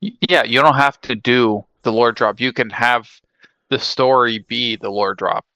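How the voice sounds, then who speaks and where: A man's voice: medium pitch, a little slow, pushed and bright, no room sound, rough recording. A man co-hosting a podcast, explaining his ideas in a casual, conversational way. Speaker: podcast co-host.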